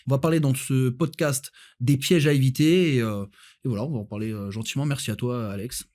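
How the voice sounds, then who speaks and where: A man with an average-pitched voice talking rapidly as a guest in a casual podcast conversation. He is blunt and colloquial, and tends to repeat his words as he explains his view as a property adviser. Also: fairly even intonation, a little mellow. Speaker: guest, Dubai-based real estate adviser.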